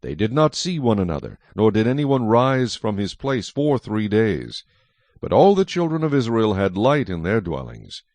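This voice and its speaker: Lowish, expressive male scripture narrator. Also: dry recording. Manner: measured, solemn storytelling. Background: music.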